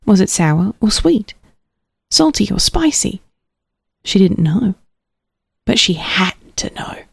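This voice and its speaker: A woman's voice: medium pitch, creaky, darkish tone, dry recording, studio quality. A woman reading a children's storybook aloud with playful expression, dropping into whispers at tense moments. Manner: playful, drops to whispers for suspense. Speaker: storybook reader.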